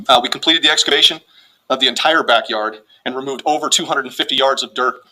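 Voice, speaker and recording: Fast, bright-voiced man reading an official statement. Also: medium pitch, a little hiss or hum, rough recording. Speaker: police spokesman. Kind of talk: formal press statement, read out.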